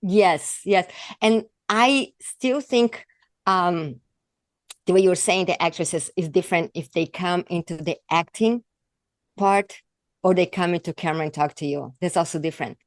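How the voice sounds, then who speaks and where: A woman's voice: lowish voice, measured pace, no echo. A woman from Brazil with a thick Brazilian accent, speaking earnestly as a guest coach in an interview and often restarting her phrases. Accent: Brazilian Portuguese. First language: Portuguese. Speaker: guest, on-camera confidence coach. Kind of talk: interview conversation.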